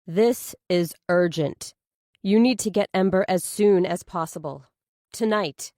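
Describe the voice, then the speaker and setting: A woman's voice: fairly low pitch, a little slow, mellow, no echo, studio quality, close to an everyday voice. Woman acting an insistent, guarded female lawyer. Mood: urgent, evasive. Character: evasive, insistent, businesslike female lawyer on the phone.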